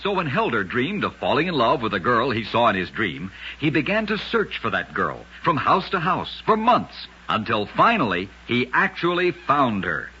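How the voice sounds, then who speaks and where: Man, old-time radio host, very raspy, animated intonation, scripted dramatic storytelling, dull vintage recording. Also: medium pitch, normal speed, few pauses.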